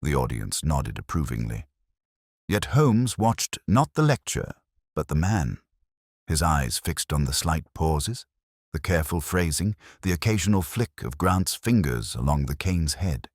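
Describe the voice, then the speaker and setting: A man reading a detective story as its narrator, in a measured, formal, literary manner. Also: rumbling low, sing-song, dry recording, studio quality. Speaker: audiobook narrator. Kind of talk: scripted first-person detective story narration.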